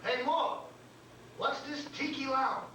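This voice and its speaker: Heard from far off in an echoing club, a man plays a grumpy ghost of an old comic. He is reluctant and querulous, questioning everything in a high voice. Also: little pitch movement, slow pace, pushed and bright, a little hiss or hum, full character voice. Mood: grumbling, querulous. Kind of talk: staged reading of a comedy script. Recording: echoey club stage, distant mic.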